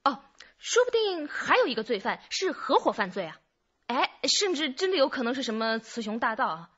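A woman's voice: high voice, sing-song, moderate pace, fairly bright tone, breathy edge, highs cut off, heavily acted. An actress playing a confident female police detective who likes to speculate, in a radio drama. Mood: teasing speculation.

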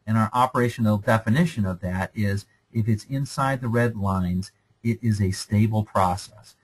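A man's voice: fairly low pitch, normal speed, slightly rough, low-quality recording. A man lecturing over slides in a conversational, explanatory way, often checking in with "okay?".

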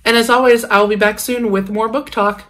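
A woman's voice: a little high, steady tone, moderate pace, a bit bright. A young American woman with a slight cold, chatting informally as she gives a casual shelf tour for her vlog. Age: young adult. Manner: chatty, informal, uses slang. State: sick with a sniffle. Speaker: book vlogger and channel host. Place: indoors in a home library room.

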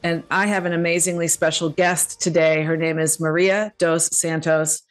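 A woman's voice: low-pitched, level tone, normal speed, soft background music. A middle-aged woman hosting an upbeat podcast interview. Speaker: podcast host. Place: indoor room at home or office, video call. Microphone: desk microphone with headset.

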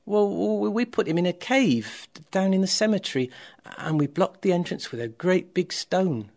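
A man voicing a grieving, reproachful woman in a told Bible story, halting and emotional as she pleads. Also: on the high side, lively intonation, gravelly, husky, full character voice. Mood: distressed, doubtful.

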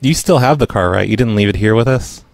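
A man's voice: lowish voice, animated, fast talker, gravelly, no echo. A man hosting a prank call show, talking in a casual, matter-of-fact way. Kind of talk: casual podcast talk and prank calling.

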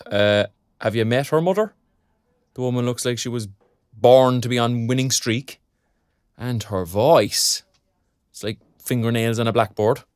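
A man's voice: mid-range voice, measured pace, pauses often, clean tone, dry recording, clean studio sound, lightly acted. An actor playing a chatty, put-upon, good-natured younger father in an audio drama, speaking colloquially with an Irish accent. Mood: rueful, animated. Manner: colloquial, animated.

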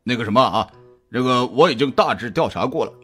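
A man playing a jovial, chatty private detective in an audio drama, talking colloquially with drawn-out hesitations in a husky voice. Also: medium pitch, animated, a bit bright. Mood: casual, explaining. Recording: music behind the voice.

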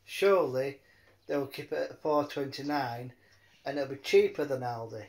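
A man chatting casually in a rambling, halting way, often repeating his words. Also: mid-range voice, expressive, a little mellow. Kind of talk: rambling casual phone chat.

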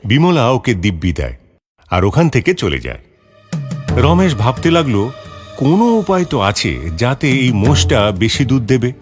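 A man's voice: medium pitch, big pitch swings, unhurried. A man narrating a scripted moral story for children. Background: background music.

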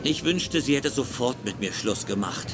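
An actor playing a smooth, sly male rival in a dubbed sitcom, smug and given to feigned sincerity. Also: mid-range voice, expressive, moderate pace, music in the background, close to an everyday voice. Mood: mock sympathy.

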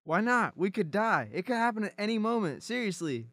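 A panicky young man played by a male student in an amateur radio mystery. The voice sits high and sharp and moves up and down in an animated way. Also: brisk. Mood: alarmed. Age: young adult. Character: panicky, argumentative young man fearing Y2K doom.

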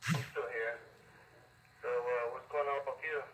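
A man talks casually through a two-way radio with little change in pitch. The speaker sounds distant and very roomy, in a casual operator-to-operator exchange. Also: fairly even intonation, measured pace, noisy background.

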